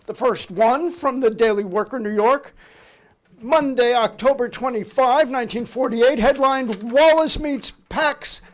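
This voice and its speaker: A man's voice: very high-pitched, a little slow. A man reading the part of a formal, insistent committee counsel questioning a witness in a dramatic reading of a 1950s hearing. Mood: formal, accusing. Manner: courtly, official, insistent.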